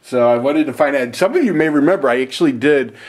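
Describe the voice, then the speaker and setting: A man's voice: mid-range voice, lively intonation, quick pace. Casual, rambling man reviewing gear. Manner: rambling, self-deprecating. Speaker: video host reviewing prep gear. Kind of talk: casual unboxing and review talk.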